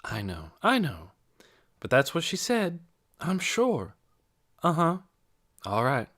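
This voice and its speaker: A man voicing a brisk, sugary churchgoing older lady. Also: fairly high pitch, wildly swooping pitch, fairly bright tone, pitched above the performer's own voice, full character voice. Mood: firm, insistent. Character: cheery, brisk, bossy churchgoing older woman. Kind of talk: audiobook character dialogue.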